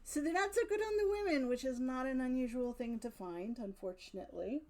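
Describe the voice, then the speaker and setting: A woman's voice: high voice, fairly quick, a little mellow. A woman giving casual, unscripted commentary as a video host, thinking aloud with wry asides. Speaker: video host reviewing old radio drama.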